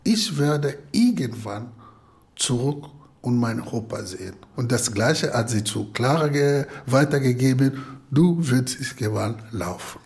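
A man retelling a story in unscripted, reflective speech, with an encouraging manner and deliberate repetition. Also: medium pitch, expressive, gravelly, darkish tone, a little hiss or hum. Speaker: interviewee retelling a film story.

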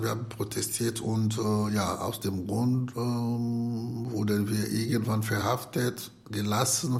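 A non-native German speaker, a man with a foreign accent, retelling and reflecting in a halting, searching way. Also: lowish voice, vocal fry, a little mellow. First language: not German. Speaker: asylum seeker retelling a story.

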